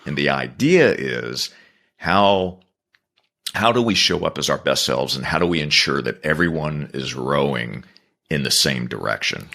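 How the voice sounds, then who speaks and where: A man answering as a guest on a business podcast, earnest and measured, building his points in repeated phrases. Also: a bass voice, expressive, creaky, sharp tone.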